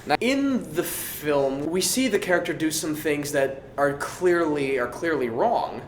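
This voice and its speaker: A man's voice: a little high, expressive, a little slow. Male, casual Q&A participant, jokey and a little hesitant, recorded in an echoey room with faint noise. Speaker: actor answering fan questions. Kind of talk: casual group Q&A chat. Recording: roomy echo, faint background noise.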